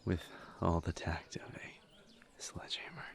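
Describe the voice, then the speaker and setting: A man playing a courteous, earnest incubus demon in an intimate audio roleplay, speaking softly and breathily in halting, formal phrases. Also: whispered, natural voice. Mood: awkward, earnest. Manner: hesitant, halting, formal wording, soft-spoken and breathy. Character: courteous, earnest male incubus demon, awkward among friends.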